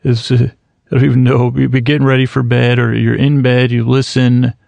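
A man in his thirties hosting a sleep podcast in a slow, meandering bedtime monologue, halting often with restarts and fillers. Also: medium pitch, little pitch movement, gravelly, darkish tone, no echo. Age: 30s.

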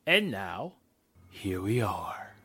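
Slow, sneering 1940s gangster. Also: male voice, fairly low pitch, sing-song, noisy background, heavily acted. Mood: smug. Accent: American. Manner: sneering, slangy tough-guy talk. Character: menacing 1940s gangster henchman with a gun. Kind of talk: 1940s radio drama acting.

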